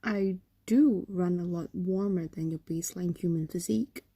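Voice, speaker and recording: A woman's voice: lowish voice, normal speed, dark tone, breathy edge, no room sound. A woman voicing an ancient, possessive and doting fox demon in an intimate roleplay audio drama, coaxing and condescending, often slipping into whispers. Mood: fond, teasing.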